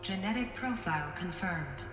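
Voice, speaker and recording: A lowish, echoing female voice from an actress plays a security computer in a video game. It delivers formal procedural announcements, calm and impersonal throughout. Music sounds behind it. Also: moderate pace, natural voice.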